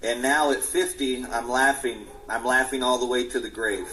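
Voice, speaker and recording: A man's voice: mid-range voice, steady tone, very noisy. A man in his forties, a musician answering interview questions earnestly, often restarting his sentences. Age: 40s. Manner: earnest, halting with many restarts. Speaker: musician guest.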